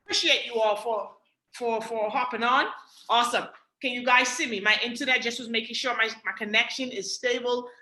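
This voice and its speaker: Bright Bahamian woman pitching on a video call. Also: a little fast, roomy sound, rough recording. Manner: energetic, repeats "right?" and "guys". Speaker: webinar presenter. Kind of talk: motivational sales pitch.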